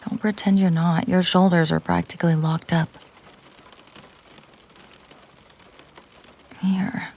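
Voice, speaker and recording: Casting: actress as possessive mafia boss girlfriend for intimate audio roleplay; dark, unhurried, hushed voice that drops into whispers, controlled and coolly tender. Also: lowish voice, heavily acted. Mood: softly caring. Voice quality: soft, breathy, often slipping into whisper. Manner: slow, deliberate, coolly possessive. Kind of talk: intimate roleplay audio drama, soft slow delivery.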